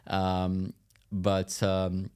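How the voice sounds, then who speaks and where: A man explains things in a halting, thoughtful way as a guest in a conversational interview. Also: low-pitched, monotone, darkish tone, clean tone. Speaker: podcast guest, tech company chief executive.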